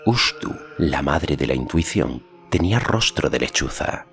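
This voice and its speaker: Very deep-voiced man narrating. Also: expressive, measured pace, vocal fry, a bit bright. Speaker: audiobook narrator. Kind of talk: scripted fantasy story narration. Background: faint music.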